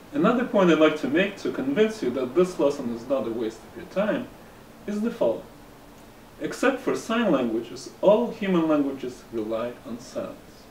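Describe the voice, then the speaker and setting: Man, Russian accent, language teacher, measured scripted lesson, roomy echo, a bit far from the mic. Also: mid-range voice, darkish tone, slight background noise. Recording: roomy room echo, somewhat distant mic, faint ambience.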